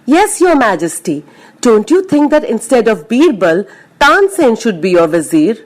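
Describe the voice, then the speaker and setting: A woman playing a scheming, flattering male courtier with an obsequious manner in a children's story. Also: a little high, a little slow, pitched above the performer's own voice.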